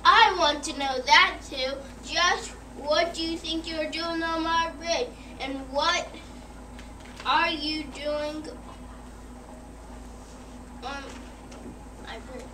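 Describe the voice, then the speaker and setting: A child performer playing a mean, rude old troll in a school readers theater, reading in a halting way. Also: high-pitched, fairly even intonation. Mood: demanding, threatening. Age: child, about eight or nine. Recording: echoey, noisy room, far from mic.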